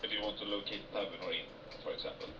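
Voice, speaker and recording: Swedish man in halting English. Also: lowish voice, fairly even intonation, dull audio. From Sweden. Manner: halting, searching for English words. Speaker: player. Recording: distant echoey voice chat with background noise.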